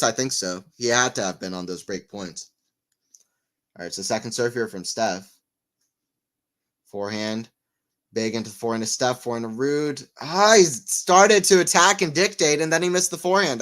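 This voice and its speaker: Male watchalong commentator, mid-range voice, relaxed chat between points that jumps into excited calls. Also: medium pitch, normal speed. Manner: excitable on rallies, rambling and self-mocking between points. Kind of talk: live play-by-play and casual chat.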